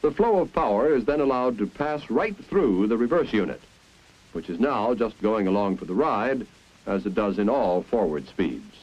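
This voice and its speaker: An American man reading scripted 1950s instructional film narration in a clear, deliberate, explanatory manner. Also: on the low side, lively intonation, a little mellow. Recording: dull, band-limited 1950s film soundtrack.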